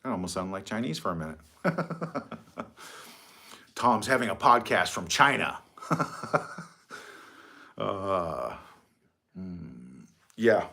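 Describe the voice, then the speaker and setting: A man's voice: low-pitched, big pitch swings, slow pace, vocal fry, long pauses, sharp tone. A middle-aged man hosting a casual livestream from his phone, rambling, mocking and swearing freely. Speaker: livestream host.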